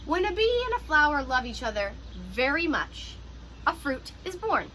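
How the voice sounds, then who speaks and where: Woman, preschool teacher, high and very bright voice, animated, encouraging, children's read-aloud, faint background noise. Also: moderate pace, low-quality recording. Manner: animated, encouraging, speaking directly to young children.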